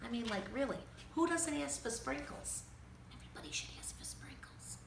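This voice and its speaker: A woman's voice: sits high, animated, moderate pace, gravelly, pitched above the performer's own voice. A woman playing a brash, opinionated, gushing storybook guest reader who chats in theatrical asides. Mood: opinionated, excited. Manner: chatty, theatrical, interrupting with asides. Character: Selma, a brash, opinionated, Fancy Nancy-obsessed guest reader. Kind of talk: children's storybook read-aloud. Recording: roomy, distant mic with faint noise.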